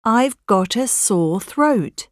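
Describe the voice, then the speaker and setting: Lady reading textbook sentences super slow, bit high voice, dry studio sound. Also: expressive, no room sound. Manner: slow, careful model sentences for learners. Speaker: course audio speaker. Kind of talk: scripted coursebook audio.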